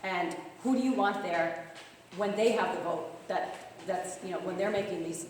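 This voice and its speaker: A young woman with a Long Island accent talks fast and earnestly, her voice a little hoarse. She is heard from far off in an echoey hall with a long tail of reverb, and faint room noise sits under her words. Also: lowish voice. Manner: fast-talking, earnest appeal. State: losing her voice. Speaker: campaign manager. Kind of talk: speech at a club meeting. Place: meeting room.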